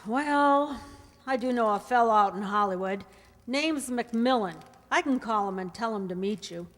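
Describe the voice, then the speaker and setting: A wary, hard-boiled woman of the underworld played by an actress in a radio crime play. Her voice is highish and very animated, guarded and slangy, ringing in a hall. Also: moderate pace. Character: wary, tough female underworld go-between. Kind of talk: 1950s crime radio drama re-enactment. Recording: reverberant hall.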